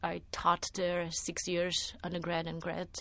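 A woman's voice: fairly low pitch, completely flat delivery, measured pace, gravelly, a bit bright. A middle-aged woman from Brazil, a media artist answering interview questions in a thoughtful way, with many restarts and fillers. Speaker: media artist and former art teacher.

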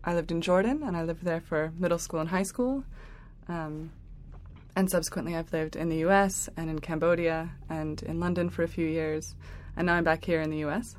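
A woman's voice: deep voice, steady tone, a little fast, a little mellow, a little hiss or hum. A young woman talking about founding her project, chatty with many fillers. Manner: chatty, filler-heavy with light laughs. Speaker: interview guest, project founder. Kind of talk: casual interview answers.

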